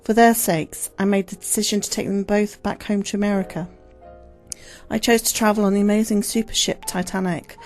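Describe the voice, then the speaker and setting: A woman's voice: medium pitch, fairly even intonation, fairly quick, a little mellow, faint music behind, lightly acted. A woman acting a guilt-ridden, grieving mother in a solemn, formal radio play monologue. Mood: sorrowful, regretful.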